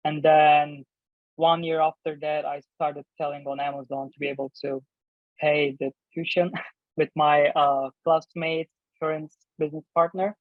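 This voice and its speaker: A man's voice: fairly high pitch, flat delivery, a little slow, dry recording. Turkish-accented man on a phone-like line. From Turkey. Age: 30s. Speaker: guest, online seller and business owner. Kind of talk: interview answers, explaining. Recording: thin phone-like call audio.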